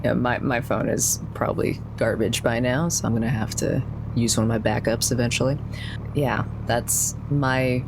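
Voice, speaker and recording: Guy playing a tabletop rpg, kinda hesitant and thoughtful, noticeable rumbly hum in the recording. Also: steady tone, normal speed. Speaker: tabletop game player. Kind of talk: casual game chat. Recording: clear hum and rumble behind.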